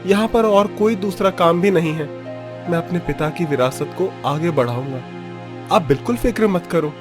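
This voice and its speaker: A man's voice: on the high side, natural voice. A man voicing a young fisherman's son in a cartoon story, emotional and pleading.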